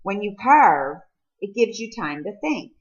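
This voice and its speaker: A woman voicing an earnest, patient teenage boy in a novel, calm and conciliatory. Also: mid-range voice, measured pace, highs cut off, pitched above the performer's own voice. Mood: restrained, conciliatory. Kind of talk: audiobook character dialogue.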